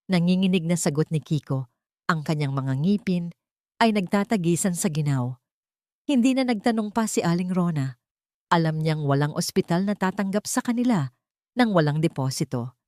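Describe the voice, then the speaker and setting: Low-voiced woman narrating a drama. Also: warm tone, dry recording, studio quality. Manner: emotional, rising for tense moments.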